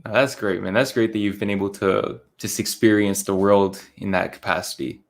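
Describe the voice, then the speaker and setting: A man hosting a live stream, who talks in a relaxed, friendly and casual way. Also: on the low side, moderate pace. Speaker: host.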